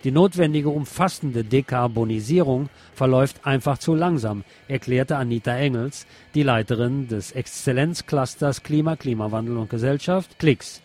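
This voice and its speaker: A man's voice: medium pitch, normal speed, few pauses, a little mellow. A man reading scripted news as a radio host. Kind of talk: scripted news narration over music.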